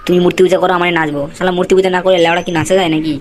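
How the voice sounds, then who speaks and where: A performer voicing an indignant, foul-mouthed young club member in a comic cartoon, complaining in rapid, crude slang. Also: mid-range voice, level tone, slight background noise, close to an everyday voice. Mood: pleading.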